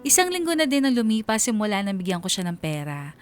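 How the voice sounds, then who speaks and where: A woman's voice: mid-range voice, very animated, quick pace, lightly acted. A woman with a Filipino accent narrating in the first person as a concerned eldest daughter in a radio drama. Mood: earnest, reflective. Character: concerned eldest daughter recounting her family troubles.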